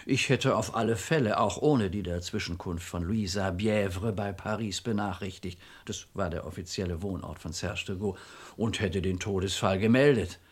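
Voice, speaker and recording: A low-pitched, gruff commissioner in a seventies radio crime play. He is shrewd and blunt, lecturing deliberately and with emphasis, his patience worn thin. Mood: shrewd, measured. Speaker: actor. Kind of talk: 1970s German radio play acting.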